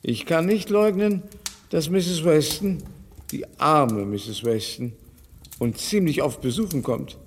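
A man's voice: fairly high pitch, lively intonation, takes it slowly, breathy edge, in a large hall, full character voice. An actor playing an anxious, fussy elderly father who worries and rambles in a radio play. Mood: fretful. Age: older.

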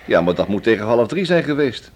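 An actor playing a brisk, businesslike office boss in a radio drama. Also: male voice, fairly low pitch, big pitch swings, darkish tone, slight background noise.

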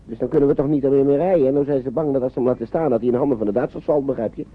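An actor playing a courteous, resigned gentleman lawyer who shepherds children in a radio play, chatty and repeating himself. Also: mid-range voice, racing, slightly rough, phone-quality sound, lightly acted. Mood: patient explaining. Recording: noisy old radio play recording.

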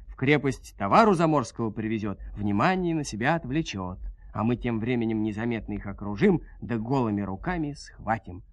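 A man's voice: mid-range voice, dry recording, lightly acted. Man playing a boastful, scheming enemy warlord. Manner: barking orders, scheming. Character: boastful, commanding enemy warlord with ginger moustache.

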